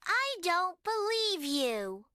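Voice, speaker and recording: A woman voicing an earnest, soft-spoken preschool girl in a children's bedtime story. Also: very high-pitched, big pitch swings, extremely slow, dry recording, studio quality, exaggerated voice. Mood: brave.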